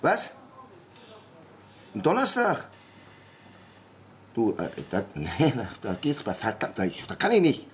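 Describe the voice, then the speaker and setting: An actor playing an irritated, harried man on the phone in a radio crime drama, halting, repeating himself and muttering curses. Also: mid-range voice, wildly swooping pitch, slight background noise. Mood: exasperated, agitated.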